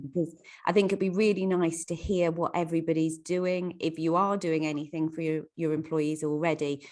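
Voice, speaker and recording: A woman's voice: on the low side, a little mellow. A woman hosting a professional online meeting, friendly and loose, with many fillers. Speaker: webinar host. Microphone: video call.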